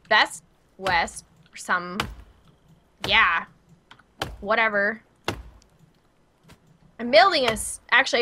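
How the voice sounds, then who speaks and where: A woman's voice: medium pitch, pushed and bright, slight background noise. A woman with a Midwestern American accent, chatting casually as she streams a co-op video game. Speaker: gaming streamer. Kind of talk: casual co-op gameplay chat.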